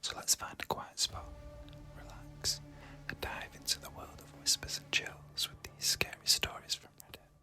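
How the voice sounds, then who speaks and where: Whispering ASMR horror storyteller, crisp and bright. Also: faint music behind. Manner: hushed, slow, deliberate phrasing with pauses. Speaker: narrator and host of a scary story show.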